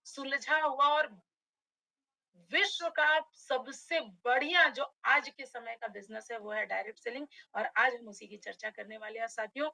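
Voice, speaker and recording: High bright-voiced woman, slightly hoarse. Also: fairly even intonation, moderate pace, no room sound, low-quality recording. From Uttar Pradesh, India. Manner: addresses audience as friends, motivational. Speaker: direct selling trainer. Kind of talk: online webinar presentation.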